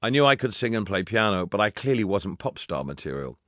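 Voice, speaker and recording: Male audiobook narrator, lowish register, dry studio sound, wry and humorous memoir delivery. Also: big pitch swings, fairly quick, a bit bright, no echo. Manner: wry, dryly humorous storytelling.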